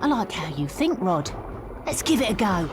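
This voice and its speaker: Actress as streetwise young rat, English accent, plucky, matter-of-fact, medium pitch, video game, music behind. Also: female voice, very animated, moderate pace, natural voice. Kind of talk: video game cutscene acting.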